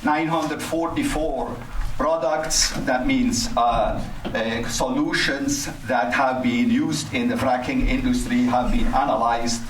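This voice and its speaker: An older man giving a formal, scholarly prepared address at a public meeting, speaking haltingly. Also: medium pitch, steady tone. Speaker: resident speaking at public comment, cancer researcher. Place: community center meeting hall. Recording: roomy, distant mic, faint noise.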